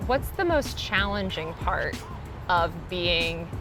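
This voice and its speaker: A woman's voice: lowish voice, expressive, slow pace, over music. An American woman asking questions in a casual, conversational interview. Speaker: interviewer, reporter.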